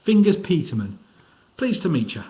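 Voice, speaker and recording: A man's voice: fairly high pitch, very animated, moderate pace, highs cut off, voice pushed up. A man voicing a scrawny, sniggering old male petty crook in a storybook reading. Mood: chummy.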